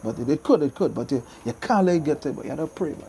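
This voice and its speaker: Fast-talking Trinidadian man, emphatic and casual. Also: fairly high pitch, vocal fry. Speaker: interview guest, soca singer and DJ. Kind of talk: casual interview chat. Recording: steady background noise.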